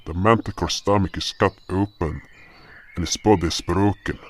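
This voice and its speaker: An actor playing a gruff, wary older adventurer in a fantasy audio drama. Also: male voice, low-pitched, fairly even intonation, moderate pace. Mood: grave, wary.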